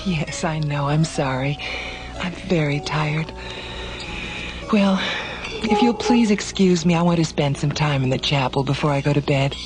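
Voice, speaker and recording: An actress playing a tired, polite young woman in an audio drama, soft and hesitant in manner. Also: low voice, measured pace. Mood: weary, apologetic.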